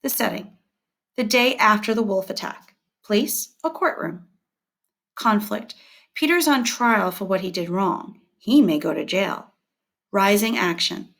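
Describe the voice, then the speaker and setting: A woman teacher reading scripted instructional material aloud, setting out headings and short points in a measured, deliberate way. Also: mid-range voice, a bit bright.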